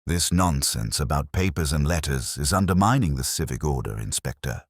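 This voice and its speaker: A man's voice: very deep voice, animated, normal speed, no room sound, clean studio sound. A man voicing a pompous, blustering small-town mayor in an audiobook mystery, grumbling with ponderous indignation. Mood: indignant.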